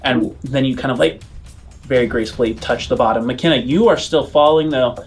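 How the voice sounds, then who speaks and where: A man with a middling-pitched voice narrates a tabletop role-playing game as game master. He explains things conversationally, and music plays underneath. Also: fairly quick.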